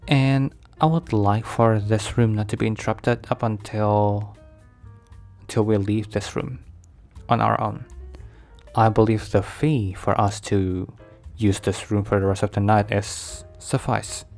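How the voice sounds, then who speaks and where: A man playing an overprotective mafia boss boyfriend in an ASMR roleplay, speaking formally with measured, halting, slightly stilted phrasing. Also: fairly low pitch, flat delivery, darkish tone, faint music behind. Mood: formal, composed.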